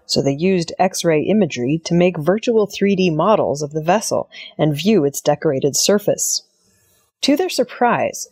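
A woman's voice: low voice, darkish tone, husky, dry recording, clean studio sound. A woman reading scripted news narration as an audio news presenter. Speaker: news reader.

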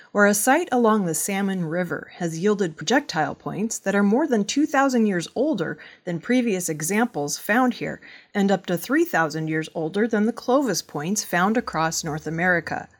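A woman working as a news reader, narrating scripted news stories. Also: mid-range voice.

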